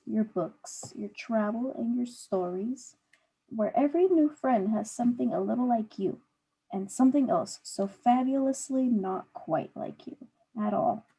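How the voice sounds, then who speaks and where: A woman teacher with a husky voice reading aloud and patiently putting simple guiding questions to a student. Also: fairly high pitch, dark tone, low-quality recording. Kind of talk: read-aloud and one-on-one comprehension questions.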